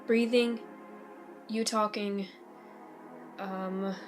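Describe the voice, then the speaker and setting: A woman plays a teenage boy telling his own story, anxious and sarcastic. The voice sits at a middle pitch in an amateur comic dub. Video game music plays clearly behind it. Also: steady tone, very slow. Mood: shaky, subdued. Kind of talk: first-person narration in an amateur fan comic dub. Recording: amateur old-device recording, music behind.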